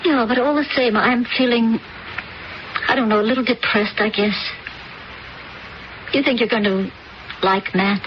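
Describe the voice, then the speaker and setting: A woman's voice: fairly high pitch, measured pace, long pauses, lightly acted. An actress playing an anxious middle-aged stepmother in a radio drama, speaking hesitantly and uneasily. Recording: dull old radio recording with hiss.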